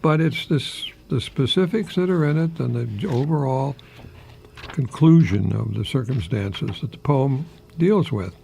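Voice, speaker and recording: An older American man, a retired professor, giving a folksy, rambling radio monologue in a gravelly, husky voice with pauses mid-phrase. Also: medium pitch, lively intonation, dark tone, slight background noise. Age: older, retired, 60s or above. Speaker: retired English professor hosting a radio program.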